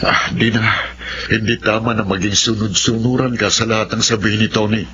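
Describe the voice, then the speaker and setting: A man's voice: on the low side, little pitch movement, a little fast, lightly acted. An actor playing a stern, righteous and determined father in a horror radio drama, speaking firmly and earnestly. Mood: firm, indignant. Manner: firm, earnest. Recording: muffled, noisy radio drama.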